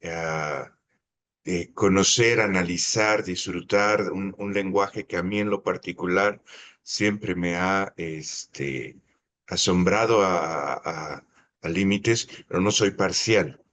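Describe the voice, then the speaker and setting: A man's voice: low-pitched, slow. A man moderating an online panel, effusive and full of fillers. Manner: effusive, warm thanks, many fillers. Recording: faint background noise.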